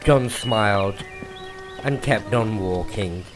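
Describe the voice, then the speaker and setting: Male horror narrator, lowish voice, theatrical and unhurried, with deliberate pauses. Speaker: story narrator. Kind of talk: dramatic scripted horror story narration. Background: music.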